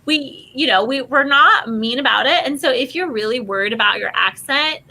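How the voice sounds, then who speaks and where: A woman's voice: high voice, moderate pace, a crowd in the background, rough recording. A woman guest on a podcast, chatting enthusiastically and casually, often saying "like". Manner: enthusiastic, chatty, says "like" a lot. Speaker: guest, language program owner.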